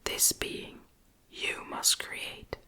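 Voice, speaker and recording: A woman reading a gothic novel as an ASMR narrator in a soft, breathy, gentle whisper. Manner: slow, gentle, deliberate reading. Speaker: audiobook narrator.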